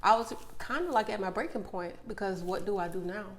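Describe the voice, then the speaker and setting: A woman's voice: mid-range voice, fairly quick. A woman, speaking earnestly and reflectively as a coach and guest in a casual interview, laughing easily. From Mississippi. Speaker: podcast guest, motivational coach.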